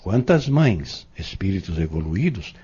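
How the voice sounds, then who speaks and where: A Brazilian man acting in an audio novel as a patient, earnest Spiritist teacher, explaining doctrine in measured, emphatic phrases. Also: low voice, big pitch swings, mellow, slightly rough, heavily acted. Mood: earnest, instructive. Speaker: Spiritist instructor in an audio drama. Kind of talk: didactic audio-novel dialogue. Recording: dull, lo-fi audio.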